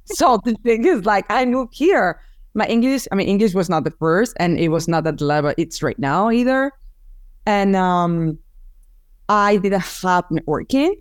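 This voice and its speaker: A woman whose first language is Spanish chats in a relaxed interview at an everyday pitch, often breaking off and rephrasing as she searches for her words. The voice sounds close and dry, with no room around it. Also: normal speed, smooth, no echo. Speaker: business owner, photographer. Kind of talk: casual interview.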